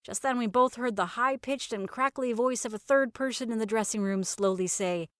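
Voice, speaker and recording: A woman reading first-person comedic fiction in a wry, sardonic, expressive storytelling manner. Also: fairly high pitch, moderate pace, no echo, studio quality. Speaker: narrator of fiction podcast.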